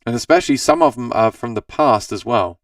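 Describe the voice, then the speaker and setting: A man's voice: mid-range voice, brisk, vocal fry, no echo, clean studio sound, distant mic. A man hosting a casual live stream about guitars, answering viewer comments in a rambling, self-correcting way, his voice tired and scratchy. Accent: Australian. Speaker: host of a live gear Q&A stream.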